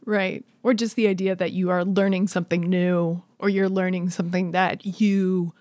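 A woman in a casual, analytical discussion, thoughtful and measured, rephrasing as she goes. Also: fairly low pitch, level tone, a little mellow, no room sound, studio quality. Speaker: podcast discussion participant.

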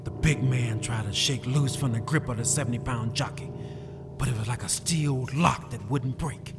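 A man's voice: medium pitch, normal speed. A man narrating a scripted story in a dramatic style. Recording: reverberant, faint music behind.

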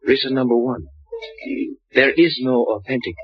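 A man's voice: mid-range voice, normal speed, husky, no room sound, close to an everyday voice. Casting: a man to play a polite, sly shopkeeper for vintage radio mystery, ornate and clipped, heard on a dull, dry old recording. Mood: measured, knowing. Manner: formal, flowery, clipped phrasing without articles. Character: courteous little Chinese curio dealer, flowery and sly. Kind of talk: old-time radio drama acting.

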